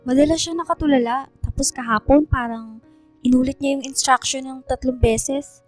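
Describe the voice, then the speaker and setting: A woman's voice: sits high, fairly even intonation, normal speed, faint music behind, natural voice. A woman voicing a caring, earnest teenage schoolgirl in a radio drama. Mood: worried, earnest.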